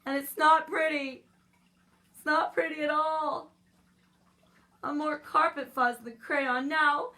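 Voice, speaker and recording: Woman voicing an aggrieved, bright-voiced little crayon. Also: high voice, fairly even intonation, takes it slowly, long pauses, voice pushed up, full character voice. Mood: indignant, disgusted. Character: aggrieved puked-up crayon writing a dramatic complaint letter.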